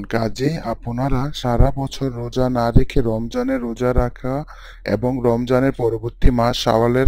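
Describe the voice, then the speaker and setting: A man's voice: mid-range voice, a little mellow, no echo, natural voice. A man playing a devout imam who gives formal, instructive sermons in a cartoon story. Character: devout male imam teaching his congregation.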